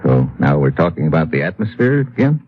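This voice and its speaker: An actor with an American accent playing a wry, wary undercover agent in an old-time radio detective drama, dry and sardonic. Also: male voice, extremely low pitch, sing-song, brisk, breathy edge, exaggerated voice. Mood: dry, guarded. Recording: dull old radio recording with music.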